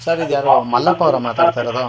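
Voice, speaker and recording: A man's voice: medium pitch, fast, crowd noise. A man making a radio prank call as a confused customer, argumentative and persistently questioning. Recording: clearly noisy radio prank call recording.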